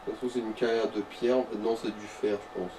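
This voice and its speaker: Male gaming co-commentator, mellow and wry. Casual slangy delivery, a little far from the mic, light background noise. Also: fairly low pitch, level tone, fast talker. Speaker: co-commentator guiding the player. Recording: slightly distant mic, faint background noise.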